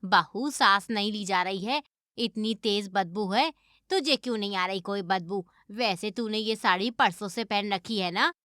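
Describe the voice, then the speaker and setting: Sharp-voiced nagging mother-in-law. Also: medium pitch, measured pace, dry recording, studio quality. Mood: suspicious, scolding. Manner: nagging, accusatory. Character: scolding older mother-in-law, sharp and suspicious. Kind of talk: animated moral story dialogue.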